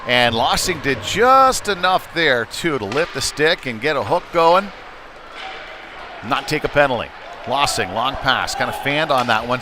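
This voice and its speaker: Man calling live hockey over rink noise. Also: medium pitch. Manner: clipped running phrases, excited on big plays. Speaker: play-by-play hockey commentator. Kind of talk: live sports commentary. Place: indoor ice rink during a game. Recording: clear rink noise behind the voice.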